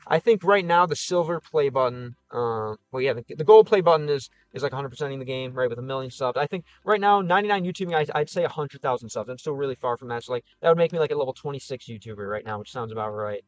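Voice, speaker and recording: A man's voice: medium pitch, lively intonation, quick pace, hardly any pauses, no echo, muffled recording. A man with a Chicago Midwest accent, slightly whiny vowels, thinking aloud as he answers comments on a livestream with lots of fillers and restarts. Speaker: streamer and game creator.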